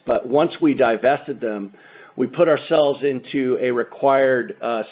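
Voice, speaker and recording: Male company executive, American accent, average pitch, methodical explainer, thin telephone sound. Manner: methodical, point-by-point business explanation. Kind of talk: earnings call answer, explanatory. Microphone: conference call phone line. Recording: muffled narrow phone-line sound.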